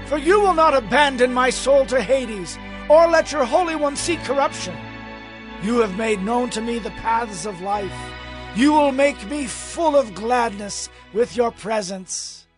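An actor playing Peter, a bold, fervent apostle addressing a crowd in a declamatory raised voice in a scripture audio drama. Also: male voice, very high voice, a little slow, soft background music. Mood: fervent, confident.

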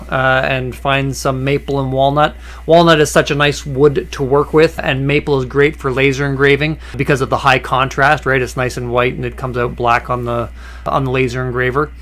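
A man explaining a woodworking project in a relaxed, conversational voice-over, with frequent "uh" fillers and small restarts. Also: medium pitch. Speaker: woodworking channel host. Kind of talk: voice-over narration of a workshop project.

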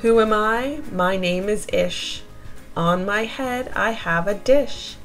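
A woman voicing a proud, content wishing creature from a storybook in measured rhythmic rhyme. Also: medium pitch, fairly bright tone, soft background music. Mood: pleased.

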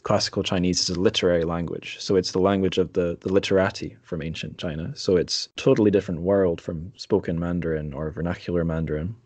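A man's voice: deep voice, steady tone, fast, few pauses, a little mellow, no echo. A man hosting a podcast interview in a casual, conversational way. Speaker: podcast host.

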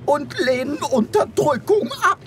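Very shrill, melodramatic chicken creature. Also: female voice, animated, a little slow, soft background music. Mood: conspiratorial, urgent. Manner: melodramatic, impassioned. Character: passionate, sentimental chicken creature, an idealistic rebel. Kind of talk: comic sci-fi radio play acting.

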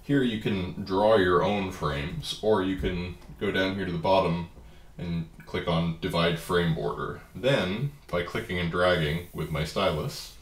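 A man with a stuffy head cold, calmly walking through a drawing program step by step in a tutorial. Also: sits low, measured pace, roomy sound. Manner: relaxed, plain step-by-step explaining. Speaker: comic artist giving a software tutorial. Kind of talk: screen-recorded software walkthrough.

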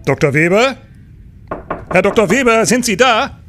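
An actor playing a senior police chief in a German crime radio play, speaking with brisk authority. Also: male voice, sits high, expressive, fairly bright tone, faint music behind. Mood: urgent calling.

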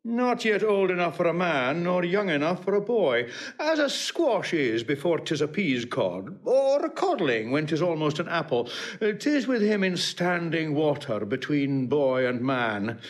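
Prissy, exasperated male steward. High voice, very animated melody, precise classical stage diction. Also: measured pace. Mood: disdainful, pompous. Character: fussy, pompous male steward of a noble household. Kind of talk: classical stage acting of Shakespeare.

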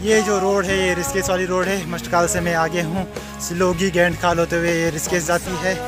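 A young man narrates his day into a phone held at arm's length, outdoors on hill roads and inside a car. His voice sits high and he talks to his viewers in a chatty, easygoing way. Music plays clearly underneath. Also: little pitch movement, fairly quick. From Uttarakhand hills, India. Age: young adult. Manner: chatty, addresses viewers directly. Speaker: vlogger. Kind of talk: casual travel vlog narration. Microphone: handheld phone camera at arm's length.

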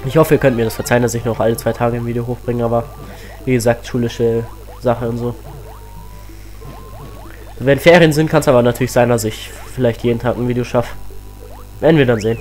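A teenage boy with a cold, chatting excitedly as he plays a platform game on his channel, full of rambling asides. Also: medium pitch, brisk, pauses often, soft background music, poor audio. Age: teens. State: has a cold. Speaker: let's play host. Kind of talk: casual game commentary.

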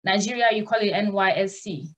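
A woman's voice: medium pitch, brisk. Earnest woman on a video call. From Ghana. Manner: earnest, direct and reassuring. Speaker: nurse and migration consultant host. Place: small room at home.

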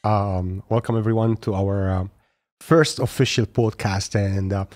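A young man hosts a podcast interview in a relaxed, friendly manner, often laughing. His voice is fairly low and close to the studio boom mic. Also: measured pace. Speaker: podcast host. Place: studio room with plants. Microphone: boom mic with headphones.

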